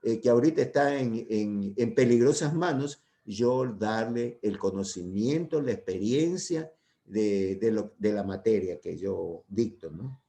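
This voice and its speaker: An older male lecturer speaks in a mellow, dark-toned voice. He talks informally and drifts between points with hesitations and restarts. He keeps checking in with his students as he teaches a medical class. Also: fairly low pitch, a little slow. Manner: rambling, hesitant, full of "¿ya?" and "¿no?" tags.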